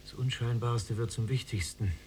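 An actor playing a sleepless, sardonic and quietly defiant younger prisoner in a radio drama, terse and dry. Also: male voice, medium pitch, steady tone, moderate pace, slight background noise, lightly acted. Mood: weary.

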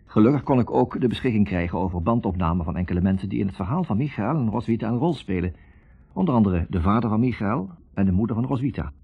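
An actor playing a writer who recounts his own investigation in a measured, reflective way, in the style of 1960s radio drama narration. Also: lowish voice, lively intonation, vocal fry, breathy edge, exaggerated voice. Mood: matter-of-fact. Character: troubled male writer recounting his taped investigation of a couple. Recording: muffled 1960s radio recording.

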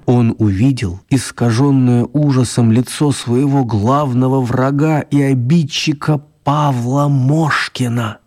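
Theatrical male storyteller, slightly husky. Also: mid-range voice, fairly even intonation, darkish tone. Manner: theatrical, dramatic emphasis with drawn-out words. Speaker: narrator. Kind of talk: expressive storytelling narration for children's radio drama.

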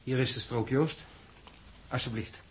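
An actor playing a careful, exacting spaceship navigator in a 1960s radio drama, measured, precise and slightly irritable. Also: male voice, medium pitch, slightly rough. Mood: measured, grave.

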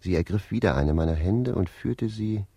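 Sly naive village lad, low voice, mellow, mock-innocent, repetitive storytelling, radio play. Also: male voice, expressive, normal speed, slightly rough, dry recording, full character voice. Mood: eager confessing. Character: naive, sly young village man confessing his amorous adventures. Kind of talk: German radio play, first-person storytelling with dialogue.

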